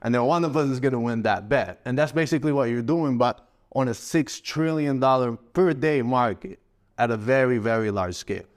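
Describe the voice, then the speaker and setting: Male guest, 30s, from Morocco, casual and rambling, mid-pitched. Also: a little fast. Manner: relaxed, rambling, frequent fillers and restarts. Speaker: guest, forex trader. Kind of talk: casual interview answers.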